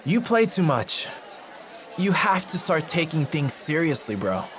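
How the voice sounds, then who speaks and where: A man's voice: on the high side, big pitch swings, unhurried, a bit bright, some chatter behind, a little hiss or hum. A man playing an easygoing young college student in an audio drama, talking in slangy bro speak to his best friend. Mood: earnest. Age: young adult. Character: easygoing, food-loving college guy, loyal blunt best friend.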